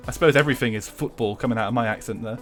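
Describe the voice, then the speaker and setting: A man's voice: mid-range voice, fairly bright tone. A young English man chatting casually with his viewers while streaming a racing game, swearing freely and laughing often.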